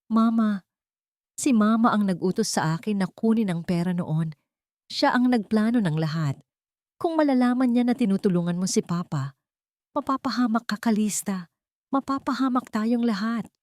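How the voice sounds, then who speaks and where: A woman's voice: mid-range voice, lively intonation, normal speed, a little mellow, dry recording, voice pushed up, lightly acted. A woman voicing a desperate former enemy, a man pleading urgently in a melodramatic audio story. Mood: desperate, warning.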